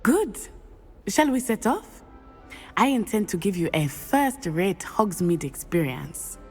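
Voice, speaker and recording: An actress playing a polite, eager young witch in a video game, speaking formally and precisely with bright exclamations. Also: mid-range voice, sing-song, takes it slowly, husky, faint music behind, heavily acted. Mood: friendly. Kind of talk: video game cutscene acting.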